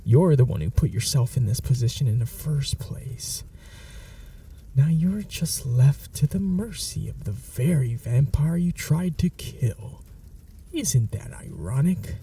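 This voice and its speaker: A man's voice: medium pitch, animated, unhurried, mellow, distant mic, slight background noise. A man voicing a menacing, possessive male vampire in an ASMR audio roleplay, speaking in a whispery, mocking, threatening way. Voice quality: whispery, gravelly.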